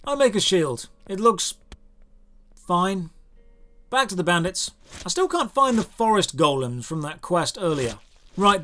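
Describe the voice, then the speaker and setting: A man's voice: sits high, fairly bright tone. Dry, sarcastic man reviewing a game. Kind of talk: scripted review narration over gameplay.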